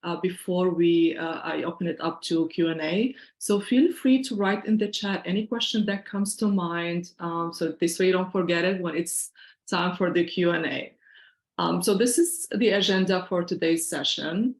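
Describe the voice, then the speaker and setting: A woman's voice: lowish voice, normal speed, reverberant. A middle-aged woman from Syria with a Syrian accent, presenting an online financial wellness workshop in an earnest, explanatory, conversational way over a video call.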